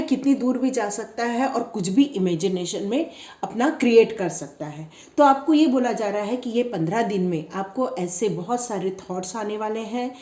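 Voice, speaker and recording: A woman with a sore, slightly strained throat, giving a pick-a-card tarot reading in a gentle, encouraging way. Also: medium pitch. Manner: gentle, encouraging, repetitive guidance. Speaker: tarot reader. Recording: echoey room.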